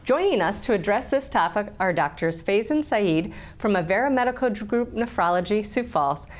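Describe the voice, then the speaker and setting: A woman hosting a medical interview show, asking questions in a friendly, steady way. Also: mid-range voice, normal speed. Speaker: TV health show host.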